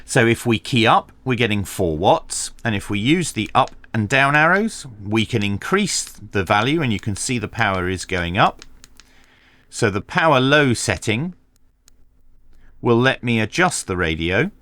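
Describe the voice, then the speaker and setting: A man's voice: mid-range voice, very animated, a little slow, a bit bright. A man giving a methodical, explanatory hands-on commentary as he presents a product review. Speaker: radio reviewer presenting a video.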